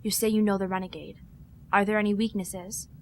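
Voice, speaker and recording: A woman playing an earnest, sharp starship security lieutenant in an audio drama, serious and direct. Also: medium pitch, flat delivery, fairly quick, slight background noise, natural voice. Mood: probing.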